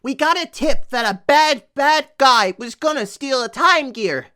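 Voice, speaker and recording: The guildmaster is a high, bouncy, singsong creature, played by a woman, who talks slowly and brightly, teasing everyone like a delighted child. In this fan comic dub he is playful and bossy, swooping up and down as he speaks. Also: animated, exaggerated voice.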